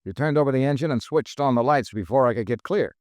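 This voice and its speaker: An actor playing a persistent insurance investigator who narrates a 1950s American radio drama in a wry, dry way. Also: male voice, mid-range voice, fast, a little mellow, no room sound, natural voice. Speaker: lead and narrator.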